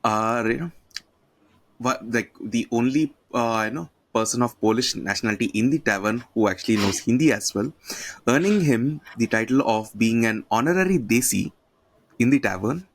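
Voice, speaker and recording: A man's voice: medium pitch, expressive, normal speed, low-quality recording. A man hosting a podcast over a video call, playful and teasing, with lots of "you know". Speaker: podcast host.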